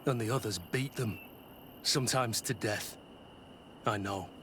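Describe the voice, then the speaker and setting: A man's voice: fairly low pitch, measured pace, bright, forceful tone, slight background noise. A man playing a stern, honourable mercenary soldier in a video game cutscene, terse and blunt. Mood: grim, angry.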